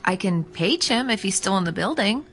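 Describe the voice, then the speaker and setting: A woman's voice: medium pitch, lively intonation, moderate pace, fairly bright tone, soft background music. A woman acting in a radio drama as a helpful, polite and matter-of-fact clinic receptionist. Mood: polite, puzzled. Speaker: actor.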